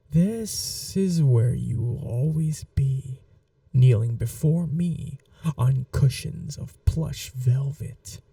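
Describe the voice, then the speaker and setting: Woman playing a dark, slow-purring vampire mistress. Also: rumbling low, vocal fry, no echo, far from the mic, exaggerated voice. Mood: wistful, taunting. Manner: condescending, purring, coaxing like an owner to a pet. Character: possessive, teasing female vampire mistress, sweetly cruel. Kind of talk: ASMR roleplay, slow intimate monologue.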